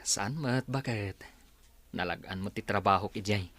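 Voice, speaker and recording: A man playing a humble, struggling working husband, low-key, in a radio drama. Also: normal speed, fairly bright tone, no room sound.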